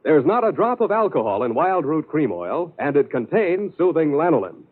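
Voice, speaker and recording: A man's voice: on the high side, very animated, normal speed, breathy edge. An American man announcing and selling on 1940s radio in an emphatic, polished salesman's style. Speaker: radio announcer and sponsor pitchman. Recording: old narrow radio broadcast with music.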